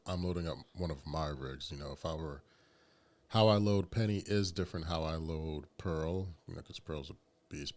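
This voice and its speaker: Male co-host with a very deep voice, easygoing and joking, casual podcast talk. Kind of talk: casual chat.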